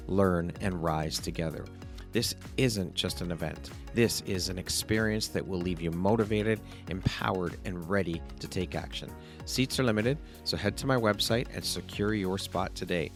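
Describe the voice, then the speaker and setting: A man speaking as an upbeat, encouraging podcast host. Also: low voice. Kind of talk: upbeat scripted intros and interview talk.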